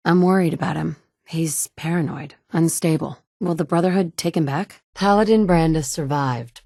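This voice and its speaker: A blunt, no-nonsense wasteland survivor woman for a video game. Her voice sits low for a woman and is recorded dry and close. She talks in short, matter-of-fact lines. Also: darkish tone, dry recording. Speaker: player character in a video game.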